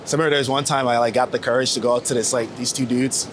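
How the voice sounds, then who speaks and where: A man's voice: medium pitch, fairly even intonation, rapid-fire. A young American man from Florida talking casually and candidly in an interview, with informal, slangy speech. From United States, Florida. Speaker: street photographer being interviewed. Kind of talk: casual reflective chat. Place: outdoors on New York city streets. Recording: outdoor street noise with passing vehicles. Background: city traffic ambience.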